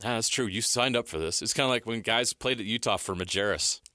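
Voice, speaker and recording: A man's voice: fairly low pitch, fast, pushed and bright, dry recording, clean studio sound. A man hosting a sports talk show, giving heated, emphatic commentary with rhetorical questions and phrases repeated for stress.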